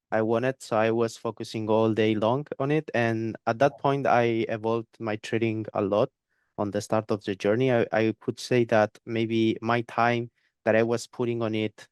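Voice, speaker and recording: Young male guest, clear resonant tone, dry close sound, halting delivery with fillers. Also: on the low side, dry recording. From Spain. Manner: hesitant, repeats words, many fillers. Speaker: guest trader. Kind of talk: interview conversation.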